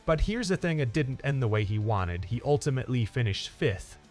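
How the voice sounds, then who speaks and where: A man's voice: mid-range voice, big pitch swings, brisk, a little mellow. A man narrating scripted motorsport news in an upbeat, emphatic presenter style. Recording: music under the voice. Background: background music.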